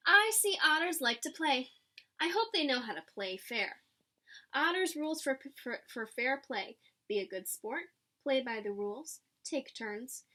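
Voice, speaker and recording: Bright, high-voiced woman reading to children. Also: unhurried. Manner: animated, explanatory, acts out lines. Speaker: teacher reading a picture book to children. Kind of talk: expressive children's read-aloud with asides.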